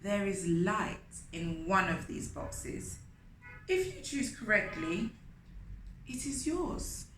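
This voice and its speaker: A woman's voice: medium pitch, big pitch swings, slow, fairly bright tone, soft background music. A woman voicing a proud, huffy, grudging king of the sky in a pompous, commanding storybook manner. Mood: stern. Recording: roomy echo with faint noise.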